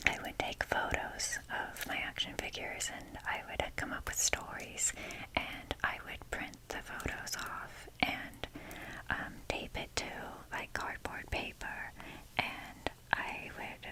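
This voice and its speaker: A woman whispering softly and breathily in a casual, chatty, self-deprecating ASMR ramble, with the odd mild swear. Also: slow, low-quality recording. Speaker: ASMR video creator.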